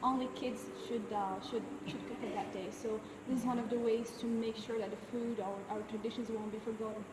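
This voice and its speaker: A young woman in her twenties, a student, answering interview questions in a relaxed way with frequent pauses. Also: on the high side, recorded from a distance. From United States. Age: 20s. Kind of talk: casual explanatory interview answers. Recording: echoey hall from afar, background noise.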